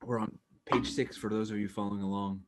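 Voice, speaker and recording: A man's voice: fairly low pitch, flat delivery, brisk, background noise. A man hosting a casual group reading of comics, prompting and directing the others. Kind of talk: casual live group chat.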